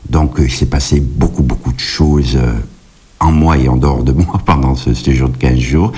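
Low mellow guy from kabylie, songwriter guest, stop-start and repeats himself a lot. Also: normal speed, faint music behind. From Kabylie, Algeria. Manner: hesitant, repeats words and restarts. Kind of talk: relaxed interview answers, anecdotal.

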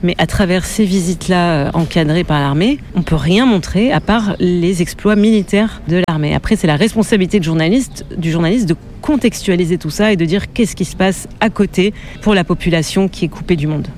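A woman journalist answering interview questions in a measured, thoughtful way, often pausing to hesitate. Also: on the low side, a little mellow.

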